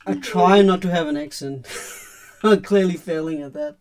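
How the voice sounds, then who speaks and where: A man's voice: sits high, normal speed, darkish tone. A man with an accent that mixes Indian and New Zealand sounds, chatting casually and chuckling with his teammates during a match. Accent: mix of Indian and New Zealand. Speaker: player on team voice chat. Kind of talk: casual gaming chat.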